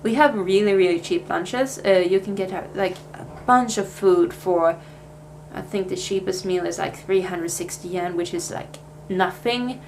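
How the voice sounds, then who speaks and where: A woman's voice: fairly low pitch, normal speed, darkish tone, slight background noise. A young Swedish woman with a Swedish accent, chatting casually in a life update vlog, with plenty of fillers and restarts. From Sweden. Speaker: vlogger.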